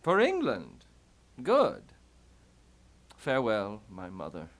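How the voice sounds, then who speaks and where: A man's voice: lowish voice, wildly swooping pitch, slow pace, heavily acted. A man acting a mocking, impulsive, grief-maddened young prince in a theatrical Shakespearean puppet show. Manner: dramatic, declaiming, sardonic.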